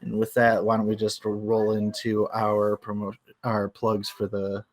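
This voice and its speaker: A man's voice: lowish voice, completely flat delivery, measured pace. A man co-hosting a book club livestream, informal and playfully mock-formal in his delivery. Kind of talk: casual show hosting.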